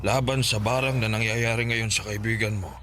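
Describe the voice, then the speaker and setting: Low-voiced grave Filipino village healer played by a man. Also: fairly even intonation, over music, lightly acted. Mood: grave, warning. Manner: deliberate, knowing, murmurs thoughtful hums. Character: grave, calm older male village folk healer. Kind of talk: Filipino radio drama acting. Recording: clearly noisy background ambience.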